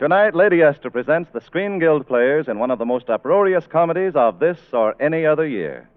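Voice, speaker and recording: A man's voice: on the high side, expressive, fairly quick, clean tone. A man announcing and narrating a 1940s radio show in a showy, polished scripted style. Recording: muffled 1940s radio broadcast with music.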